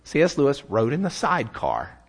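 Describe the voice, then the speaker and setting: A man's voice: medium pitch, wildly swooping pitch, brisk. A man with a Texan accent giving a folksy, self-deprecating church talk about a writer's conversion, often repeating words as he builds a point. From Texas. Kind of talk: sermon-style talk with slides.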